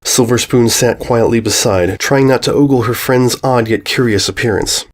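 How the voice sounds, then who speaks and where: A man's voice: medium pitch, normal speed. A man narrating a scripted story as its reader.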